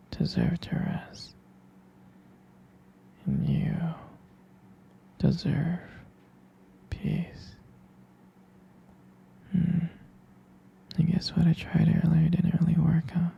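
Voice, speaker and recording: Whispering, gravelly, soothing ASMR boyfriend. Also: male voice, a little high, completely flat delivery, mellow, highs cut off. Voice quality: breathy, husky whisper. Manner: soothing, tender, hesitant and halting. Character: tender, protective boyfriend lulling partner to sleep. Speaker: ASMR roleplay performer. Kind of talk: boyfriend ASMR bedtime roleplay. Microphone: close microphone.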